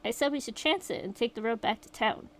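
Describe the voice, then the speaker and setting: A woman voicing a shaken young survivor, reading the account aloud in a steady, rueful way. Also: on the high side, a little fast, slight background noise. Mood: grim retelling. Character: shaken young survivor recounting a horror night.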